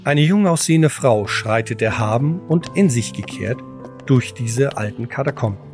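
Male narrator, medium pitch, scripted audio drama storytelling, measured, solemn, background music. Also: animated, darkish tone.

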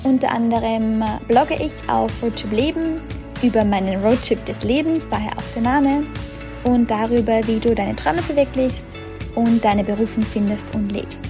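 Upbeat Austrian woman podcast host. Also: on the high side. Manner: upbeat, enthusiastic introductions. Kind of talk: podcast interview.

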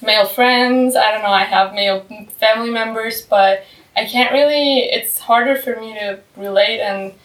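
A woman's voice: on the high side, moderate pace, a bit bright. A woman chatting casually on a podcast, rambling and using lots of fillers. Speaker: podcast co-host. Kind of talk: casual discussion. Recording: echoey room, far from the mic.

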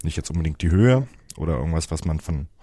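Male tech guest. Very low voice, halting and methodical explainer, conversational, close dry sound. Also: lively intonation, mellow, slightly rough, no echo. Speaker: interview guest, app developer. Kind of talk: casual technical explanation.

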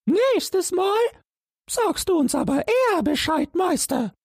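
A man's voice: very high-pitched, sing-song, takes it slowly, creaky, no echo, clean studio sound, voice pushed up, heavily acted. A man voicing three tiny red men in nightcaps, sleepy and grumbling.

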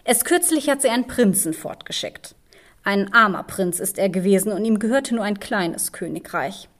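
A woman hosting a podcast, narrating fairy tales in an expressive, measured storytelling manner. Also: medium pitch. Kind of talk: fairy-tale narration and discussion.

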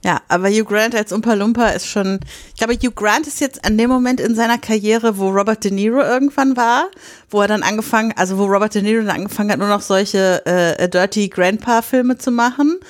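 A woman's voice: medium pitch, fairly quick. Playful woman podcast co-host. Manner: playful, mixes in English phrases. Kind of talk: casual banter among friends.

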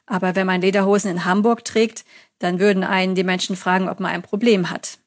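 A woman's voice: mid-range voice, steady tone, brisk. A German woman in her thirties, a psychology lecturer, answering an interview in a chatty way and telling stories with quoted examples.

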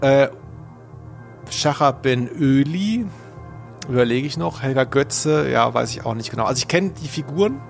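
A man's voice: medium pitch, expressive, normal speed, vocal fry, soft background music. A man co-hosting a podcast in casual chat, thinking aloud as he works through his answers. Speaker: podcast co-host and quiz contestant.

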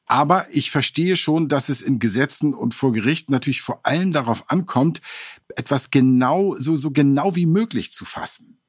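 Husky-voiced man hosting true crime. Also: mid-range voice, dry recording, clean studio sound. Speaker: podcast host. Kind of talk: scripted true-crime podcast talk.

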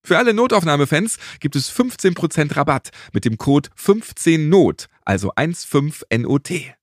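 A man's voice: fairly high pitch, big pitch swings, moderate pace, no room sound, clean studio sound. A man speaking as a podcast host in German-style interview and presenting delivery. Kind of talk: podcast interview and scripted ad read.

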